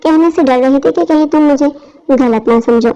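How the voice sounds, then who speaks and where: Woman playing a scheming college girl, high-pitched. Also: normal speed, mellow, close to an everyday voice. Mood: coy. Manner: coaxing. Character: scheming young college girl, coaxing and greedy. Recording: dull lo-fi audio.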